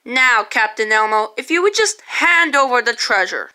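A woman's voice: a little high, expressive, moderate pace, pushed and bright. A woman voicing a bossy, taunting, scheming old pirate king in a homemade puppet play. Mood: smug.

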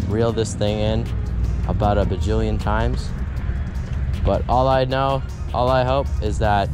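Chatty young guy vlogging by a windy pond. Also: medium pitch. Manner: chatty, rambling, self-correcting. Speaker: fishing vlogger. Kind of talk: casual outdoor vlog. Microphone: action camera, head-mounted and handheld.

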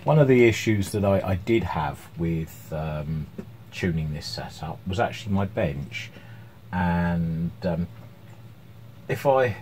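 Casting: man, middle-aged, deep pitch, hesitant hobbyist demonstrating at his bench, some background noise. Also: lively intonation, takes it slowly, a little mellow. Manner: hesitant, unscripted, thinking aloud. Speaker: electronics hobbyist presenting his repair. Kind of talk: informal workbench demonstration. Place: small cluttered indoor workshop at home. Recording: clear background noise, room ambience.

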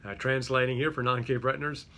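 A man's voice: mid-range voice, flat delivery, quick pace, bright, forceful tone. A middle-aged man with a slight Greek accent, unboxing and explaining a card game in a chatty way, full of fillers and restarts. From Greek-speaking home. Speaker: company head presenting a product. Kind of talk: casual product unboxing. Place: small office with a yellow wall.

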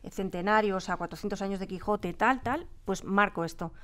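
A woman's voice: medium pitch, brisk, fairly bright tone. A woman with a Castilian Spanish accent, a radio drama creator answering interview questions conversationally in a studio.

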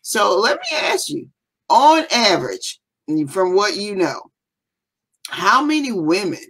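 A woman's voice: medium pitch, wildly swooping pitch, takes it slowly, fairly bright tone, slightly rough. A woman hosting a live online summit over a video call, chatty and enthusiastic, calling viewers y'all. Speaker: summit host. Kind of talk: live interview. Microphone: video call webcam.

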